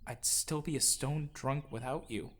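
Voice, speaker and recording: A man's voice: mid-range voice, a little slow, a bit bright. A man giving an earnest, sincere scripted narration of his own life story in audio drama form. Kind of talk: scripted autobiographical narration with acted dialogue. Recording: music behind the voice. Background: music.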